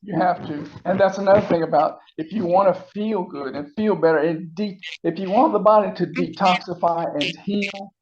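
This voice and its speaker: Man, sixties, high-pitched, agreeable, halting explanatory delivery, casual interview guest, dull muffled call sound. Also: background noise. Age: 60s. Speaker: interview guest giving testimonial. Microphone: remote call.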